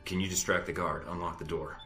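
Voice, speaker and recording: An actor playing a groggy, defiant young secret agent in a video game, gruff and terse. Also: male voice, deep voice, little pitch movement, fast talker, pushed and bright, roomy sound, faint music behind. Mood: groggy, demanding.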